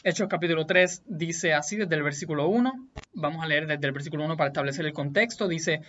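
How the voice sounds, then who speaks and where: A Puerto Rican man explaining Bible doctrine as the host of a teaching video, in a deliberate, point-by-point, repetitive way. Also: a little high, a bit bright, lo-fi sound, slight background noise. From Puerto Rico.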